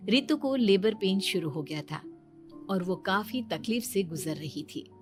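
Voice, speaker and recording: A woman giving scripted storytelling narration for an animated moral story. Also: medium pitch, measured pace. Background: music.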